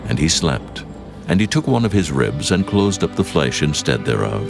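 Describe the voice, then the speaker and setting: Very deep, gravelly male scripture narrator. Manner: solemn, measured storytelling. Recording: music underneath.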